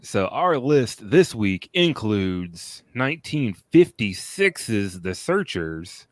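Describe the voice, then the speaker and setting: Slow-talking laid-back male podcast host. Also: on the low side, no echo. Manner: relaxed, rambling, laughs often. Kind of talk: casual film podcast chat.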